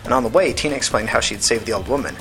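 Man narrating a novel, measured and matter-of-fact. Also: mid-range voice, vocal fry, a bit bright, soft background music, poor audio. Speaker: podcast narrator. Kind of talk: scripted audiobook narration of fiction.

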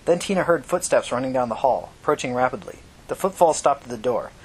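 A man's voice: lively intonation, quick pace, husky. A man narrating dramatic fiction as an audiobook reader. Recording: noisy recording with low rumble.

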